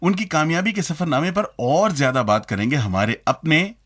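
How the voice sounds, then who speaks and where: Animated man radio co-host, slightly husky. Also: fairly high pitch, moderate pace. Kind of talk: scripted radio presenting with co-host banter.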